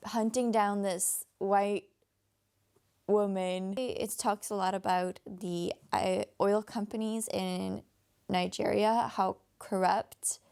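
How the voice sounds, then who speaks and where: A woman from Denmark, Danish by first language, talks through a book in a loose, rambling way, full of fillers and restarts. Her voice is raspy with a gravelly fry, and she sounds tired, at a middle pitch. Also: a little mellow. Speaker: book vlogger. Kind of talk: casual book review vlog.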